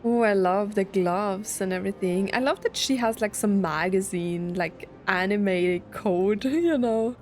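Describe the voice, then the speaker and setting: A young woman streamer chats casually through a game, thinking out loud. Her voice sits at a middle pitch, with faint music playing underneath. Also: a little slow, few pauses. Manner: chatty, thinking aloud, reads dialogue out. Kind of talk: casual let's play commentary.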